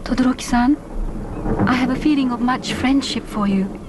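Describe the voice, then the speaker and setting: A woman playing a gentle, devoted young Japanese islander in a radio drama, earnest and formal in her phrasing. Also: high voice, moderate pace, background noise, close to an everyday voice. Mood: tender, determined. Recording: music bed under voice. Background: orchestral film score.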